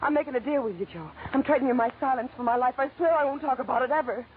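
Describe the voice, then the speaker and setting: An actress playing a frightened but guarded young woman in an old-time radio melodrama, pleading earnestly and haltingly. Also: high-pitched, slight background noise, lightly acted. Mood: pleading, scared. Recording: tinny, band-limited old radio recording.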